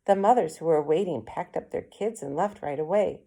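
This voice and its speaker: A woman with a slightly low voice reads a children's novel aloud. She narrates in the first person as a storyteller. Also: normal speed, mellow. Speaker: read-aloud reader.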